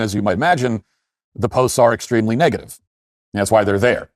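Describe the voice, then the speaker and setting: A man hosting an opinion commentary show, speaking in an emphatic, critical way with deliberate phrasing and asides. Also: on the low side, no echo, clean studio sound.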